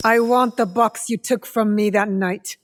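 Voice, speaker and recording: A woman's voice: medium pitch, steady tone, unhurried, big-room sound, close to an everyday voice. A woman playing a guarded, determined young female shinobi bent on revenge in a video game, speaking in a serious, terse way. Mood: cold, accusing. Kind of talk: video game cutscene acting.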